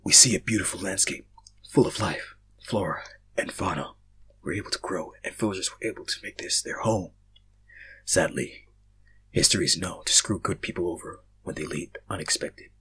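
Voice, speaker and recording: A young man reading fan fiction aloud, casual in his chat and theatrical in his narration, swinging between hushed and loud. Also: low-pitched, moderate pace, slightly rough, low-quality recording. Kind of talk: casual intro and dramatic story narration.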